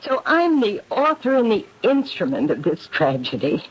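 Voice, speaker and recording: A woman's voice: medium pitch, very animated, normal speed, breathy edge. An actress playing an anxious, guilt-ridden aristocratic English lady in a 1970s radio drama, speaking in an earnest, refined, confessional way. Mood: guilt-ridden, pleading. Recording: dull vintage radio broadcast.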